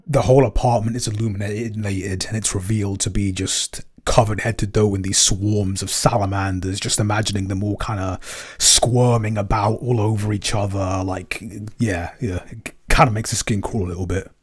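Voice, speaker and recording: Low-voiced man, chatty podcast co-host. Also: steady tone, moderate pace, husky. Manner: chatty, frequent "um" and "you know" fillers. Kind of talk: casual chat.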